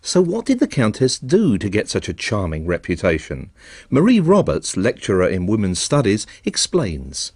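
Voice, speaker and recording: Brief: male presenter, lively pitch, slightly husky, wry scripted narration. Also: moderate pace, dry recording. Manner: dry, wry understatement. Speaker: programme presenter.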